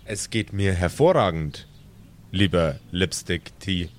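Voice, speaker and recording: A man's voice: low voice, expressive, slow pace, voice pushed down. A man voicing an oddly formal, robotic impostor who poses as human, speaking stiffly and over-politely in an improvised audio role-play. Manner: stilted, over-polite, over-literal.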